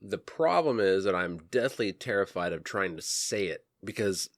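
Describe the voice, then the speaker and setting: A man co-hosting a podcast in casual chat, dropping crude jokes in a deadpan way. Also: low voice, normal speed, dry recording. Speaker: podcast co-host.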